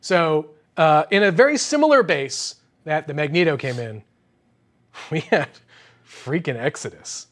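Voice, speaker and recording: Excitable man hosting an unboxing. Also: fairly high pitch, unhurried. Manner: enthusiastic, exclamatory, slangy. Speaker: host of an unboxing show. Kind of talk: casual, excited product unboxing chat.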